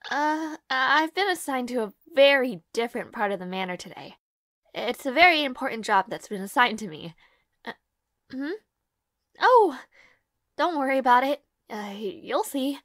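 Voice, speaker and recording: Woman acting a high-voiced, hesitant young maid. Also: no room sound, studio quality. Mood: polite, nervous. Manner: soft, hesitant, halting, polite. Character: young female maid, devoted, anxious, secretly heartbroken. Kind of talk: audio roleplay acting.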